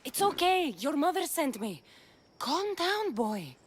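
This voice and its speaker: An actress playing a tough, protective Greek mercenary in a video game, with a Greek accent and a direct, resolute manner. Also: female voice, high-pitched, big pitch swings, takes it slowly, noisy background, full character voice. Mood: urgent. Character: tough adult female Greek mercenary warrior, protective and defiant. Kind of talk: video game cutscene acting.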